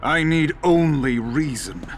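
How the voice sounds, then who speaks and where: Stern sorcerer, dramatically swooping voice. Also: male voice, fairly high pitch, lively intonation, takes it slowly, slight background noise. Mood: commanding. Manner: formal, grave, declamatory. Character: stern, commanding, learned sorcerer hero. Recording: clear music behind the voice.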